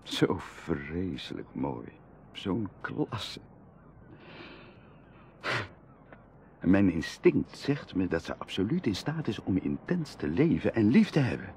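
A hard-up aristocratic bachelor in a radio play, lovesick and proud. His low male voice swings widely and dramatically between hushed confiding and passionate outbursts. There is clear noise on the old recording. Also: huge pitch swings, a little slow, full character voice. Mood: lovesick, aching. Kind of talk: Dutch radio drama acting.

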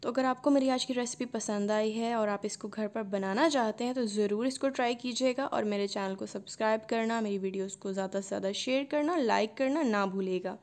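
A woman narrates a home cooking video in a calm, instructive voice. Her voice sits a little high, and she walks through each step patiently. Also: a little fast, few pauses. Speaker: cooking channel host. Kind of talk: recipe voice-over narration.

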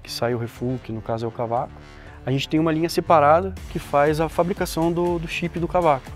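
A Brazilian man, a company's process director, explains the mill in a plain, halting way, restarting phrases as he goes. His voice sits a little high, and clear music plays under the outdoor recording. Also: steady tone. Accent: Brazilian Portuguese. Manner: halting, repeats words, plain and matter-of-fact. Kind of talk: casual explanatory interview. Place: outdoors at a sawmill.

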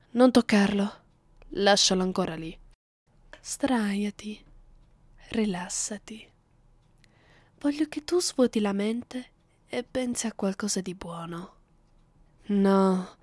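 A woman's voice: mid-range voice, a little mellow, no echo. A woman playing a cruel, seductive vampire mistress in an ASMR roleplay, speaking commandingly and often close to a whisper. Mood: irritated, scolding. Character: domineering, cruel, seductive vampire mistress addressing her human captive.

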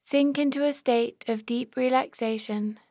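A woman leading a guided body scan meditation as an instructor, calm and measured, giving short, gentle-paced instructions. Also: sits high, no room sound, studio quality.

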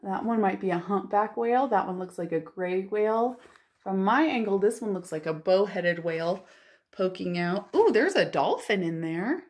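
A woman in her thirties with a medium-pitched voice hosts a storytime for small children. She reads in an expressive, wonder-filled way, stretching key words and adding simple asides for her listeners. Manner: expressive, full of wonder, drawn-out emphasis. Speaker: children's librarian hosting storytime. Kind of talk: picture-book read-aloud with asides to young children. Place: living room at home, indoors.

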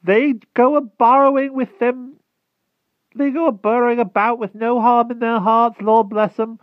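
A shrill, slow, timid old hedgehog in rustic dialect, tinny line. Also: male voice, fairly even intonation, dry recording. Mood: fretful. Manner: thick rustic country dialect, quavering and pleading. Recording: tinny phone-like dry sound.

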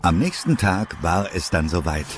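A man's voice: deep voice, big pitch swings, moderate pace, background noise. A man narrating a children's adventure radio play as a storyteller, in a scripted style.

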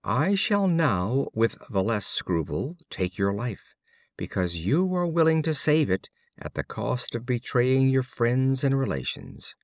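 A man voicing a stern, unforgiving bird hunter in a fable, with formal, deliberate delivery. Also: medium pitch, big pitch swings, no echo, studio quality.

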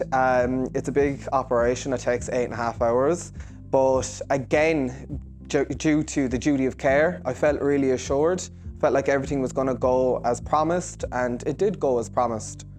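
An earnest young man tells his story in a reassuring, sincere way, pausing now and then on a filler. His voice sits at an ordinary middle pitch, picked up close on a lapel mic. Soft music plays faintly underneath. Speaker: hairdresser giving a clinic testimonial. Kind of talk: interview testimonial. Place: hair salon, indoors.

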